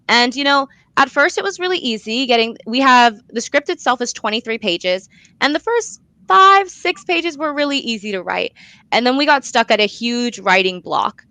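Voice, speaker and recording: A young woman talking casually as an interview guest, chatty and expressive, often saying "like" and "you know". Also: on the high side, dry recording, low-quality recording. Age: young adult. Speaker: guest, young playwright and director.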